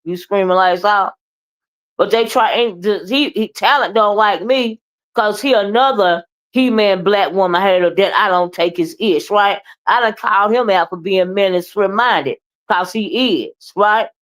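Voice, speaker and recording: A woman with a loud, boisterous voice, ranting bluntly in a live commentary and keeping her listeners in line with constant checks of "okay?" and "right?". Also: mid-range voice, measured pace. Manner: blunt, profane, keeps asking "okay?" and "right?"; moody, confrontational.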